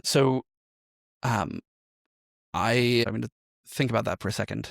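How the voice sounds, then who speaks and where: A man's voice: mid-range voice, unhurried, vocal fry, no room sound. Man, interview guest, mid-range voice, thoughtful explainer, conversational, dry close sound. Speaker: podcast guest, musician and role-playing game performer.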